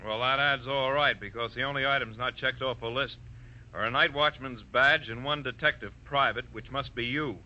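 Dry police lieutenant, very hard bright edge, muffled vintage radio recording. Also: male voice, mid-range voice, fairly even intonation, moderate pace. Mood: dry, businesslike. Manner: dry, clipped, businesslike. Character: dry, firm male police detective lieutenant. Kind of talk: 1940s radio acting. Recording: dull, sharp old radio recording.

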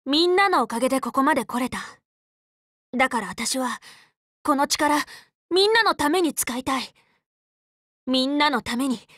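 Anime game role: teenage magical girl, loyal tomboy leader, bright forceful tone, very lively intonation, dry close studio sound, frank and casual. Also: high-pitched, dry recording, full character voice. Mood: bashful, resolute. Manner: casual, boyish, spirited, frank speech. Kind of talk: anime game character voice lines.

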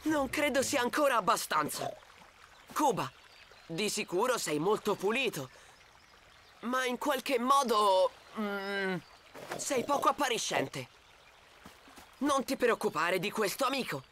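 A woman voicing a young male tiger cub, confident and friendly, in an expressive children's cartoon style. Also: medium pitch, slow, pauses often, slight background noise. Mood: confident, helpful.

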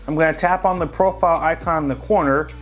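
Relaxed man walking through a gadget tutorial. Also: on the high side, quick pace, faint music behind. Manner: friendly, relaxed, walks viewers through each step. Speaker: tech tutorial host. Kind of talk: casual step-by-step tutorial voice-over.

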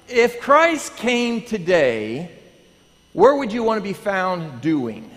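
A man in his thirties, a pastor, preaching in a lively, animated way and questioning his congregation. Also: high-pitched. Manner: animated, pacing the stage, asking the congregation. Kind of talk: lively sermon with prayer. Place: large church sanctuary. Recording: long church hall reverb.

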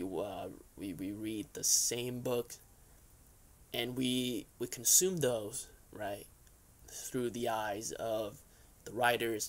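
A young man with noticeable vocal fry at an ordinary male pitch, hosting a casual vlog. He talks conversationally and loosely, wandering through his opinions and often checking in with the listener. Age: young adult. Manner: conversational, rambling, frequent "right?" and "you know" tags. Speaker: YouTube host. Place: furnished basement room, indoors.

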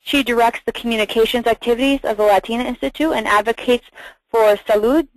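A woman's voice: a little high, fast, no echo, poor audio. A woman moderating a webinar, reading housekeeping notes and speaker introductions in a careful, scripted way with small restarts.

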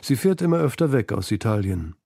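A man's voice: medium pitch, sing-song, brisk, vocal fry, dark tone, breathy edge, dry recording, studio quality. A man narrating a scripted literary documentary feature.

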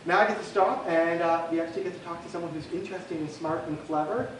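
A man's voice: a little high, fast talker, slight background noise. A man hosting an event, introducing the speaker in a playful, joking way, recorded from a camera across a dim meeting room. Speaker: event organizer and host. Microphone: far camera. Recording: distant, very echoey room recording.

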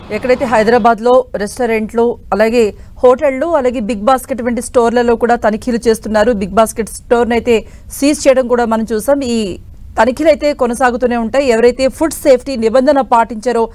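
A woman's voice: fairly high pitch, steady tone, a little fast, a little mellow, dry recording. A woman presenting scripted breaking news as a studio anchor.

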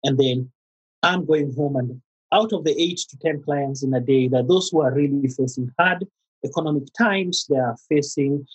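Man, Kenyan accent, middle-aged, husky, hesitant with fillers. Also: mid-range voice, poor audio. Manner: halting, many fillers and restarts. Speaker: guest therapist. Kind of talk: conversational interview.

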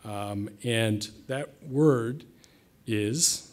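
A man's voice: fairly low pitch, extremely slow. Man presenting on a conference stage. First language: English. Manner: conversational, light humor, frequent fillers. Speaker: conference speaker. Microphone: podium mic.

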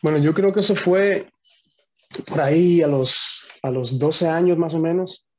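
A man's voice: fairly high pitch, a little slow, a little mellow, muffled recording, a little hiss or hum. A young man from the Dominican Republic, a worship musician, talking earnestly over a video call and often restarting his sentences. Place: small home room.

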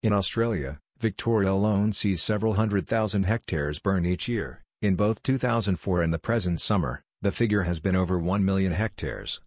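A young man in his twenties reads an exam passage in a steady, clear manner. His voice is very low. The recording is close and dry. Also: moderate pace, few pauses, no room sound. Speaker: sample answer reader. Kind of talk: exam read-aloud sample.

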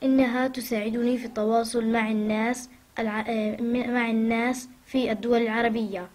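High-voiced Arabic-accented woman teacher. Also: little pitch movement, a little mellow. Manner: careful word-by-word reading, halting in English. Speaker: English teacher. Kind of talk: lesson reading with translation.

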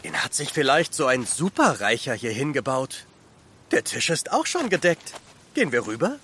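An easygoing, hungry big lad in a children's radio play. The voice is bright and very animated, with some ambient noise behind. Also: male voice, medium pitch, moderate pace. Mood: carefree. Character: strong, easygoing, hungry boy, toy figure come to life. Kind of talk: German children's audio drama acting.

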